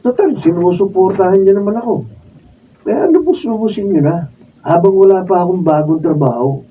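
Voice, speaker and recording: Whiny, lazy, high-voiced young husband. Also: male voice, expressive, moderate pace. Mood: whiny complaining. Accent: Filipino. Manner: whiny, complaining. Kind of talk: Filipino radio drama acting. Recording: muffled, noisy room, distant mic.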